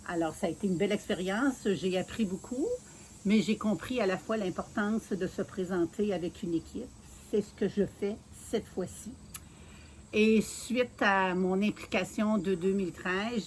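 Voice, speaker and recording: A woman in her sixties from Quebec City with a Quebec French accent, calmly telling her career story in an interview, with many filler pauses. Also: mid-range voice, slight background noise. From Quebec City, Canada. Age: 60s. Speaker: mayoral candidate being interviewed.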